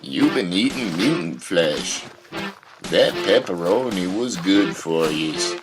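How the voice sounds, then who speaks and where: A man's voice: sits low, expressive, takes it slowly, over music. A man playing a stern, weary rural father with folksy phrasing in a surreal audio drama. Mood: grave, folksy.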